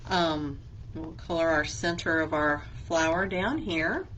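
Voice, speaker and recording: A woman librarian talks children through a craft, slow and patient, stopping to ask simple questions. Her voice sits a little low. A faint hiss runs under the recording. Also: fairly bright tone, low-quality recording. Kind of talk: children's story time craft lesson.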